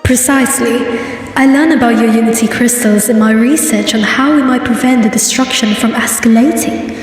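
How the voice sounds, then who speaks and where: A woman's voice: a little high, moderate pace, lightly acted. A woman voicing a poised, earnest sea-pony princess in a cartoon comic dub, speaking formally and measuredly. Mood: grave, diplomatic. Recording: very echoey, distant, music behind.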